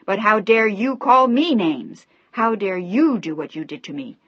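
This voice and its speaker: A woman voicing a furious young daughter who shouts and sobs her accusations, in a dry, slightly dull recording. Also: lowish voice, normal speed, dry recording, close to an everyday voice.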